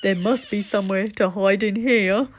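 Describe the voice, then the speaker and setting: A woman's voice: medium pitch, normal speed, lightly acted. A woman voicing an anxious, sulky, clumsy young male fox for a children's storybook, in short, flustered, strained bursts. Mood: flustered, panicky.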